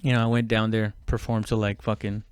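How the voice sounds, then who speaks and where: A man chatting casually and haltingly as a comedian on his own podcast, swearing freely. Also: lowish voice, little pitch movement, clear, resonant tone. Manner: informal, halting, heavy swearing. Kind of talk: casual rambling solo chat.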